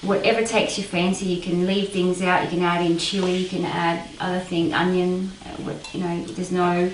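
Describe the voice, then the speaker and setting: A woman's voice: fairly low pitch, flat delivery, moderate pace, slight background noise. A woman in her thirties giving a casual cooking demonstration in a home kitchen, hesitant with many ums. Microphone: far camera mic. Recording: roomy kitchen from far off with clatter. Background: clattering dishes and cutlery.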